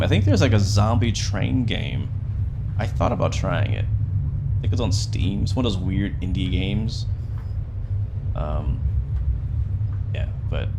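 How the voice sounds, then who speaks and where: A young man chatting excitedly and joking while live-streaming a game. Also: lowish voice. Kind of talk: casual live-stream commentary. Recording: game train noise underneath.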